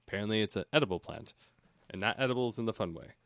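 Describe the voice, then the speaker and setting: A man's voice: lowish voice, racing, fairly bright tone, dry recording, clean studio sound. A man reading a storybook as a comedy host, breaking off for casual, sarcastic asides. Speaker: host and narrator of parody audiobook show.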